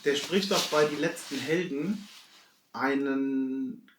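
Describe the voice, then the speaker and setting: A middle-aged man chatting casually and nostalgically as a podcast host, often halting to search for words. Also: medium pitch, recorded from a distance, crowd noise. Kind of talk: casual nostalgic chat among friends. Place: echoey room, away from the mic. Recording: roomy, off-mic sound.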